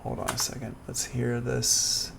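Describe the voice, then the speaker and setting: A man teaching music production in a live software tutorial, talking casually and thinking aloud as he works. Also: medium pitch, steady tone, a little slow, creaky, very noisy. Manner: casual, informal. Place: indoors, livestream class.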